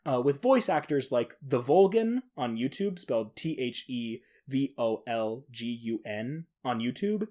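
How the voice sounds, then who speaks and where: A man's voice: medium pitch, animated, measured pace, few pauses, warm tone. A man hosting a podcast alone, talking casually in an informal, enthusiastic manner. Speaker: podcast host. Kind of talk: casual solo podcast talk.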